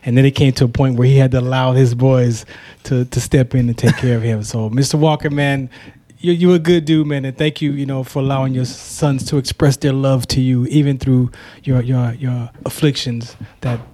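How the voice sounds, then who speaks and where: A man hosting a radio interview show, giving shout-outs and chatting casually with his guest. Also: medium pitch. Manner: warm shout-outs, quick excited reactions. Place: radio station studio.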